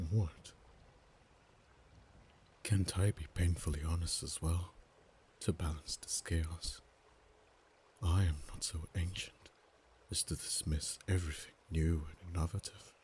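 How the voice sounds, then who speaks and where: A man voicing a deep, ancient dragon. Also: steady tone, slight background noise. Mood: musing. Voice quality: very deep, soft-spoken. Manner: slow, measured, drops to whispers. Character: ancient male dragon, proud and possessive. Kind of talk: ASMR roleplay voice acting.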